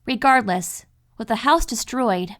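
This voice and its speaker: A woman voicing a calm, caring cartoon pony mentor in an audio story, reassuring and explanatory. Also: a little high, a little slow. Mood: thoughtful. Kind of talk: dramatic fan fiction audio reading.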